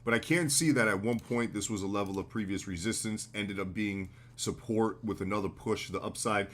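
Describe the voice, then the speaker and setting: An American man with a slightly hoarse voice, hosting a live trading stream in a relaxed, slangy way. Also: on the low side, a little fast. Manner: relaxed, loose, slangy explaining with fillers. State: slightly hoarse, getting sick. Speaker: trading livestream host. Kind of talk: casual live market commentary.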